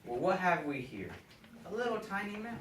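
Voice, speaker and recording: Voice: medium pitch, very animated, normal speed, fairly bright tone. A woman playing a stern, sensible butler in a children's readers' theatre play. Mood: amused. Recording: echoey, noisy room, far from mic.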